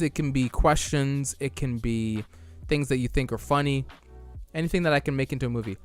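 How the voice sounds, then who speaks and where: A young man live-streaming, reading viewer chat aloud and answering it casually, his voice wearing out from a long stream. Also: a little high. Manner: chatty, reads chat aloud and answers it, loose restarts. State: losing his voice.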